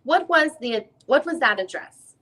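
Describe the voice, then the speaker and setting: A woman reading the part of a female lawyer who questions a witness in a deposition, in halting, rephrased questions. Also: high voice, animated, pushed and bright, full character voice. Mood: probing. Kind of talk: dramatic reading of a legal deposition transcript.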